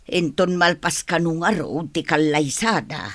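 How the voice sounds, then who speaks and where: A woman's voice: low voice, a little slow, no room sound. A woman with an Ilocano accent acting a blunt, money-minded servant in a radio comedy drama. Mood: dismissive.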